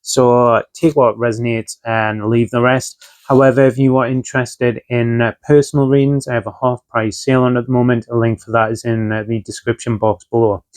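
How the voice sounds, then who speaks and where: Man, casual tarot reader, mid-pitched, dry close recording, getting over a chest infection. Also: flat delivery, a little fast, few pauses, no room sound. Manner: conversational, with frequent fillers and asides. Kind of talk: casual tarot reading to camera.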